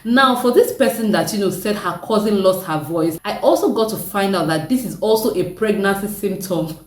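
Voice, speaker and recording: Woman vlogging, deepish voice, kinda far off in an echoey room. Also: animated, moderate pace. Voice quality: deep voice. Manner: chatty, laughs often, asks viewers to comment. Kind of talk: casual chat to camera. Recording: echoey room, mic set back.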